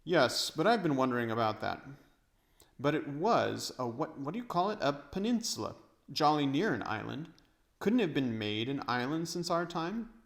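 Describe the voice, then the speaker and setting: A man's voice: fairly high pitch, sing-song, moderate pace, gravelly, voice pushed up. A man voicing a confident elder schoolboy who leads and reassures, in a storybook. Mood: thoughtful.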